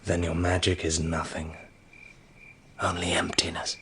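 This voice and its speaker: A man's voice: deep voice, completely flat delivery, measured pace, fairly bright tone, slight background noise. An actor playing a stern, suspicious, authoritarian king in an audio drama, speaking in a clipped, commanding way. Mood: threatening defiance.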